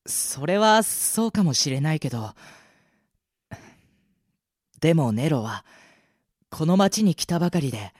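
A man acting a loyal, gentle young adventurer boy in an amateur radio drama, speaking earnestly and politely. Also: a little high, big pitch swings, measured pace, long pauses, breathy edge, dry recording, clean studio sound, heavily acted. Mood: sincere. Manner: soft-hearted, earnest, polite.

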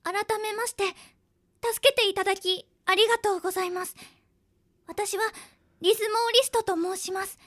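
A woman playing an earnest, timid young princess in an audio drama, speaking softly with hesitant, formal politeness. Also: extremely high pitch, steady tone, moderate pace. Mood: polite, hesitant. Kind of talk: Japanese audio drama acting.

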